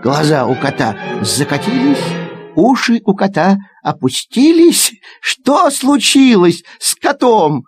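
A man reciting children's verse as a theatrical storyteller, expressive and dramatic. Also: sits high, slow pace. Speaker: storyteller, performer. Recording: digitized cassette audio play. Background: music.

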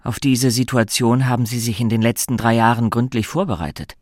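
A man's voice: mid-range voice, brisk, a little mellow, no room sound. A man reading scripted dramatic narration for a radio play. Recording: studio narration over clear music. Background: music.

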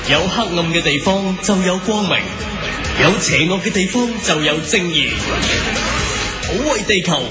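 A man's voice: sits high, moderate pace, pauses often, natural voice. A man playing an earnest narrator of a superhero cartoon, delivering a scripted dramatic introduction. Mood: earnest, heroic. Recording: music mixed under the voice.

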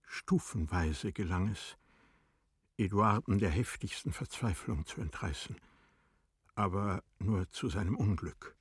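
A man narrating a tragic classic story in a radio play, in a solemn, measured, dramatic manner. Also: sits low, husky, dry recording, studio quality, heavily acted. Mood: grave.

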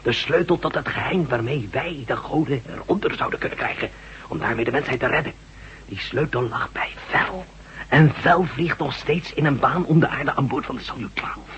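A man plays a badly wounded Russian official in a radio drama. His voice is tense and sharp, yet he labors for breath and speaks in halting, pleading bursts. A faint noise lies over the recording. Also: mid-range voice, animated, dull audio, natural voice. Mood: grave, urgent. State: weak, wounded, short of breath.

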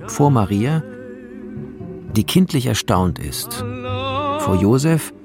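An actor playing an intense filmmaker reading shot directions and reflective monologue in a radio drama. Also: male voice, mid-range voice, expressive, slow, over music. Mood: focused, descriptive.

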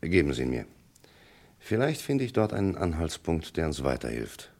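Methodical detective inspector, very low voice, probing, matter-of-fact, old radio mystery. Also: male voice, sing-song, normal speed, a little mellow, exaggerated voice. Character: methodical, sober, persistent police inspector. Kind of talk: vintage German radio crime drama acting.

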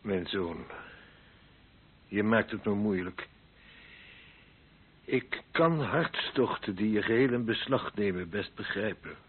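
A troubled, paternal archbishop speaks in a low, raspy voice. His delivery is solemn and deliberate, in a radio play with very narrow, phone-like sound. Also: expressive, heavily acted. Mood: grave, troubled. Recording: phone-like narrow old recording.

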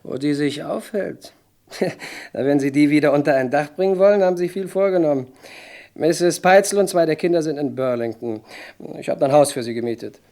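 Man playing an arrogant, higher-voiced swindler. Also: moderate pace, a little mellow, natural voice. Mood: evasive, irritated. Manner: dismissive, self-assured, evasive. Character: arrogant, slippery male businessman swindler under arrest. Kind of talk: German radio crime drama acting.

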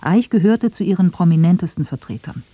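A woman's voice: lowish voice, expressive, fairly quick, dark tone, dry recording. A woman reading scripted narration for a radio documentary feature.

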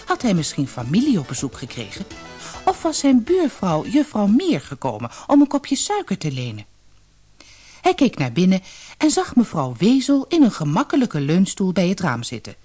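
A woman's voice: lowish voice, darkish tone, slightly rough. A woman narrating a scripted fairy tale audiobook as a storyteller.

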